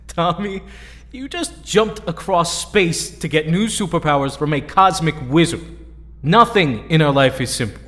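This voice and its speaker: A man playing a warm, encouraging young hero in an audio drama, talking casually like a good buddy. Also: fairly high pitch, lively intonation, a little slow. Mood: encouraging, fond. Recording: long hall reverb.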